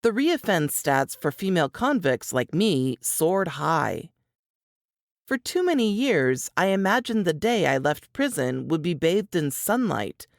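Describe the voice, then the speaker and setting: A woman reads a first-person suspense story in a low voice. Her delivery is slow and deliberate, with lively, dramatic swings of pitch as she tells it. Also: dry recording, clean studio sound. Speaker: narrator. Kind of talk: scripted first-person audiobook narration of a suspense novel.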